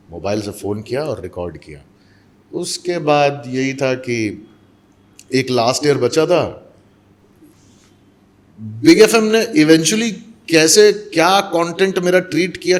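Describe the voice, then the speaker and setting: A man from Mumbai, an actor and voice-over artist, telling stories in a casual interview and mixing Hindi with English. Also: on the high side. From Mumbai, India.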